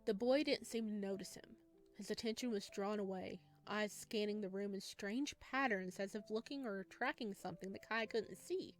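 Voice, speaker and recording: A woman reads visual novel narration aloud as a let's play host, dropping in casual reactions between lines. Her pitch sits in the middle for a woman, and music plays behind her voice. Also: moderate pace. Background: background music.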